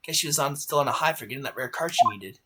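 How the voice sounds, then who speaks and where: A man's voice: mid-range voice, lively intonation, fast talker, heavy background noise, natural voice. Man playing a dry, musing young lawyer. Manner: dry, musing, understated sarcasm. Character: wry, hesitant young male lawyer investigating. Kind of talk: video game fandub acting.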